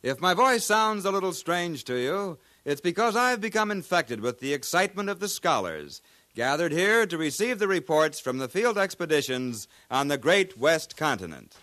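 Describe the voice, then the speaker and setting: An actor playing an eager, courteous radio correspondent with earnest, polished delivery in a 1950s radio drama. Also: male voice, a little high, big pitch swings, moderate pace, sharp tone, dry recording. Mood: eager, curious.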